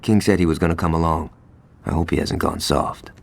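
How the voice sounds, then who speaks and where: Casting: sceptical enforcer, short temper, low voice, needling delivery, game cutscene. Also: male voice, fairly even intonation, fairly quick, creaky. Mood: businesslike, doubtful. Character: impatient, sceptical gangster lieutenant with a short temper. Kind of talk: video game cutscene acting.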